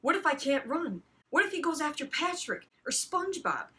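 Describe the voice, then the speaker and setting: An anxious heroine, played by a woman in a comic story reading. The voice is bright and forceful, with breathless, melodramatic delivery. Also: sits high, very animated, moderate pace, voice pushed up, full character voice. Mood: frightened.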